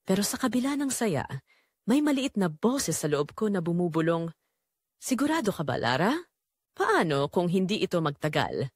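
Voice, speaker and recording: A woman's voice: medium pitch, dry recording, clean studio sound. A woman narrating a first-person dramatic story in an emotive, sentimental way.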